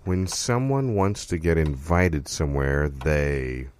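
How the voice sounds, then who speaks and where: A man acting as quizmaster in an improv comedy game, posing open-ended prompts in a measured way. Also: extremely low pitch, darkish tone, clear, resonant tone.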